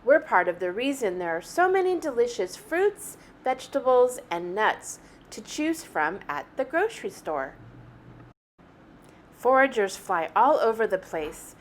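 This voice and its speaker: A woman reading a picture book aloud like a teacher, with animated, deliberate phrasing meant for young children. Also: on the high side, a little hiss or hum. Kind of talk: expressive children's story read-aloud.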